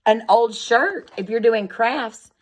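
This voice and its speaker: A woman's voice: on the high side, slow. A woman speaking as a schoolteacher, reading aloud and explaining things in simple, instructive words addressed directly to young children.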